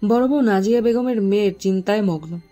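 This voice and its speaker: A woman's voice: medium pitch, brisk, dark tone, faint music behind. A woman reading scripted story narration as the narrator.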